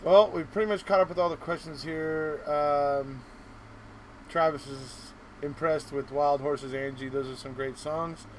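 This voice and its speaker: A man with a fairly high voice hosts a live stream. He is chatty and informal, encouraging his guest along. There is faint ambient noise behind him. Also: fairly even intonation, unhurried, low-quality recording. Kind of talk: casual live-stream hosting.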